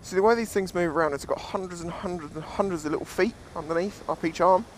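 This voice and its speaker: High-pitched British man, sweary beach vlogger. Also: quick pace, slight background noise, poor audio. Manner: sweary, jokey. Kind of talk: casual outdoor vlog commentary. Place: outdoors on a seashore.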